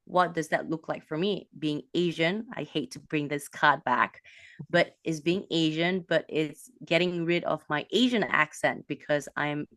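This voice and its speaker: A woman from a Malaysian background, a business coach, talking in an animated way with quick bursts and restarts. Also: deep voice, dry recording. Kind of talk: casual interview talk.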